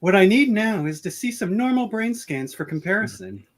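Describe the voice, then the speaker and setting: A woman's voice: medium pitch, very animated, normal speed, close to an everyday voice. A woman playing an earnest female starship doctor in an amateur comedic radio play. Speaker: podcaster acting in script reenactment.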